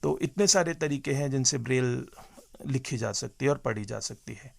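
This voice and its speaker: A man giving measured, halting explanations as an interview guest, mixing in English terms. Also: mid-range voice, gravelly.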